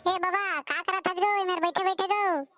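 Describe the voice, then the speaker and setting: A performer voicing a naive, bashful and excitable young village bridegroom in a comic cartoon, with an artificially childlike voice, whiny repetitive pleading and a Chhattisgarhi accent. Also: very high voice, moderate pace, dry recording, clean studio sound. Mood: cheerful. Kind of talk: comic dialogue in an amateur animated cartoon. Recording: music behind the dialogue.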